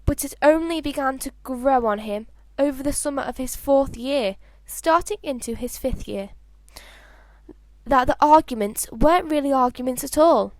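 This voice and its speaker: A woman's voice: sits high, moderate pace, no room sound, rough recording. A woman narrating a story like an amateur audiobook, reading expressively with the occasional stumble over words. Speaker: fan fiction narrator.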